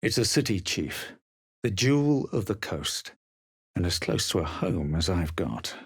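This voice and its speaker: A man voicing a haughty, cool young adventurer hero in a video game, theatrical and measured, with a husky voice. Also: low-pitched, sing-song, dry recording, clean studio sound, exaggerated voice. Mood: brooding, measured. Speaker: video game player character. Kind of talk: scripted game voice lines, dramatic narration and barks.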